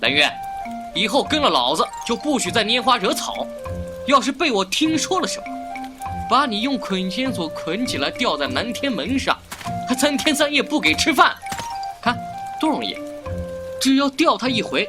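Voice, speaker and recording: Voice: mid-range voice, big pitch swings, normal speed, music in the background, lightly acted. A performer playing a mouthy, petulant young fox-spirit boy in a Chinese audio drama, scolding and cocky.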